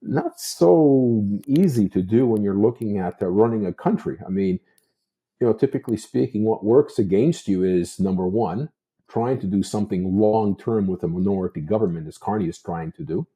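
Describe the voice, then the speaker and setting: A man's voice: on the low side, normal speed, dark tone, breathy edge. A Canadian man giving unscripted political commentary as a talk show host, discursive and repeating himself for emphasis.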